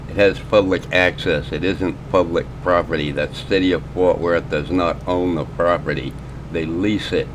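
A man with a very low, deep voice gives mocking commentary over footage. His asides are scornful and sarcastic. Traffic and street noise sit clearly behind him. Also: steady tone, moderate pace. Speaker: video commentator.

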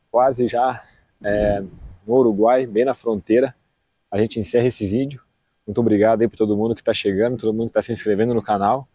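Male travel vlogger, thirties, southern Brazilian accent, soft dark tone with a slightly rough edge, informal slangy delivery, recorded outdoors on an action camera. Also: lowish voice, dry recording. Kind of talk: casual vlog chat. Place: outdoors on an open beach and dunes. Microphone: action camera at arm's length.

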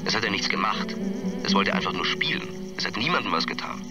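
Earnest film director, very animated intonation. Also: male voice, deep voice, loud music behind the voice. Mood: wistful, earnest. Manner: earnest, halting, repetitive phrasing. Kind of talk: acted interview in a radio drama.

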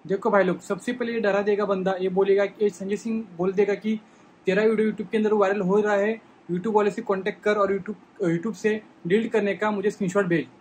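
A man's voice: high voice, steady tone, fairly quick, darkish tone, slight background noise, rough recording. A young man explaining informally and emphatically to viewers, repeating points, recorded in a small room. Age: young adult. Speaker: vlogger warning about scams. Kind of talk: explanatory talk to camera.

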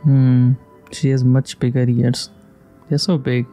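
Mellow man musing through a game. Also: mid-range voice, level tone, slow pace. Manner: musing aloud, short reactive remarks. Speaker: gamer playing and reacting. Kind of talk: casual playthrough commentary. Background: video game music.

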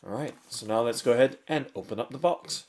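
A man giving unhurried, step-by-step product unboxing commentary indoors at a tabletop. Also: mid-range voice, a little mellow. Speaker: product reviewer. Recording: faint handling and crinkling noise. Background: faint clicks and packaging crinkle.

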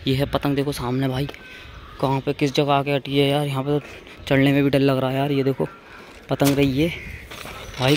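A young man giving casual, repetitive running commentary to his viewers, speaking informally. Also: mid-range voice. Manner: informal, addresses viewers as friends, repeats phrases. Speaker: vlogger. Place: outdoors on open rooftops. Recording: noisy open-air handheld recording.

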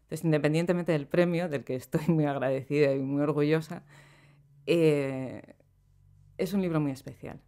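A middle-aged woman, a poet, talking in a relaxed and reflective way as a podcast guest, laughing easily between thoughts, recorded in a small studio room. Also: deep voice, normal speed. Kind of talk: relaxed conversational interview. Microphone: studio microphone on boom arm.

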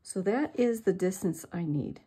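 A woman's voice: mid-range voice, lively intonation, warm tone, slightly rough. An older woman giving a chatty, step-by-step craft demonstration at her work table. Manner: slow, chatty, self-deprecating. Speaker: craft tutorial host.

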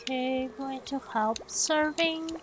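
Slow-talking Brazilian woman gaming. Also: high voice, music in the background. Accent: Brazilian Portuguese. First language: Brazilian Portuguese. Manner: slow, halting, thinking aloud while playing. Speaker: gaming channel host. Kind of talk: gameplay commentary.

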